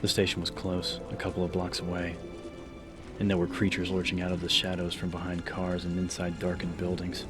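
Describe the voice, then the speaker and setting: A man's voice: low-pitched, monotone, brisk. A man reading scripted horror fiction as a dramatic audiobook narrator. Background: background music.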